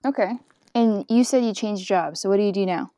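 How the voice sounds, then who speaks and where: A woman's voice: medium pitch, brisk, dark tone, dry recording, clean studio sound. A woman in her twenties co-hosting a podcast, upbeat and encouraging as she asks questions. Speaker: podcast co-host and interviewer. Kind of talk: casual conversational interview.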